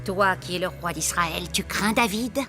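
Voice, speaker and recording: A woman playing a solemn, knowing seer who speaks in probing prophecy, in a French animated Bible drama. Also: lowish voice, normal speed, natural voice. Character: knowing, solemn female seer confronting a king. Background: music.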